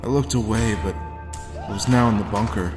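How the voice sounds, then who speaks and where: An actor playing a grim, haunted war veteran, telling his story deliberately in a noir audio drama. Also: lowish voice, music in the background, natural voice. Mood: somber, haunted. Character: haunted hard-boiled male war veteran recounting his grim past.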